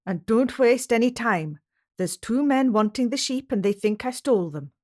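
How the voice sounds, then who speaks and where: A stern Scottish shepherd, put on by a woman reading a storybook aloud, snaps out short, firm commands in a raised, carrying voice. He guards his family and flock, and his words come clipped and forceful. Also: extremely high pitch, animated, normal speed, pitched above the performer's own voice. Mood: urgent. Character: terse Scottish shepherd man, protective of family.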